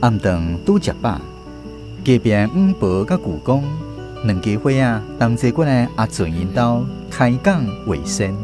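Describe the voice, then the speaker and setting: Animated Taiwanese man narrating over music. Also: medium pitch. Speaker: radio host and narrator. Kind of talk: scripted storytelling narration. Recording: music bed behind the voice.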